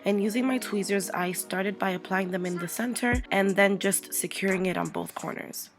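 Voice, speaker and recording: Young woman losing her voice, chatty makeup voiceover, loud music under it. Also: mid-range voice, normal speed. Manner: chatty, playful, narrating each step. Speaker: makeup artist. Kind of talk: voice-over makeup tutorial. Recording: voice-over with loud background music.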